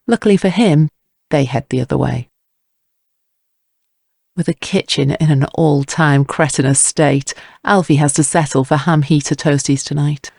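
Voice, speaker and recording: Creaky-voiced male drama narrator. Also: fairly high pitch, a little mellow, no room sound, clean studio sound. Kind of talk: scripted audio drama narration.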